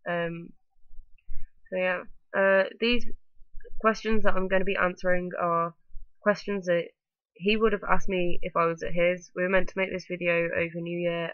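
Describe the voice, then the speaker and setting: A woman's voice: on the low side, no echo, highs cut off. A woman vlogging to camera with a blocked-up nose from a bad cold, rambling along with lots of ums and likes. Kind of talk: casual talking-to-camera vlog.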